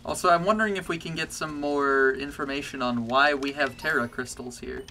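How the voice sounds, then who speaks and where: A man with a bass voice streaming a game, chatting casually and getting excited or pleading with the game as he plays. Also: a little fast, slight background noise. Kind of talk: casual gameplay commentary. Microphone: desk mic with webcam.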